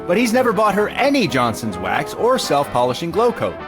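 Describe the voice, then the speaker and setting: A man's voice: on the high side, huge pitch swings, a little fast, music in the background. A man playing a polished, salesmanlike American announcer in a 1940s radio style. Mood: genial, persuasive. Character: genial 1940s radio pitchman.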